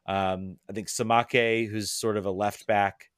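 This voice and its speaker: A man's voice: on the low side, little pitch movement, unhurried, a bit bright, clean tone, no room sound, clean studio sound. A man talking casually as a podcast guest, hesitant, with frequent ums and restarts. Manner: hesitant, lots of ums and repeated words.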